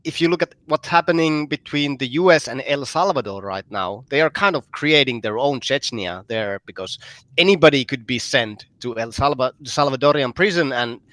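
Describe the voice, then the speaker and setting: A man's voice: medium pitch, lively intonation, moderate pace, fairly bright tone. A man from Finland speaks in a mid-range voice, thoughtful and often hesitating over his words. He puts his questions in a casual panel chat. The sound is dry and close, with no room echo. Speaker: podcast co-host, commentator.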